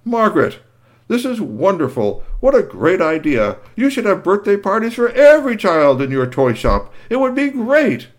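A man's voice: high voice, big pitch swings, moderate pace, pitched above the performer's own voice. A man voicing a big, pompous male professor who gushes with enthusiasm. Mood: enthusiastic.

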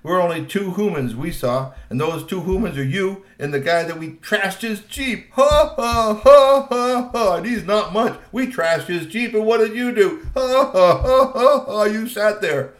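A man's voice: high voice, expressive, a little slow, few pauses, echoey room, voice pushed up. A man voicing a cocky teenage male troll who acts before he thinks and jeers with cackling laughter. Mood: mocking. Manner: jeering, cackling.

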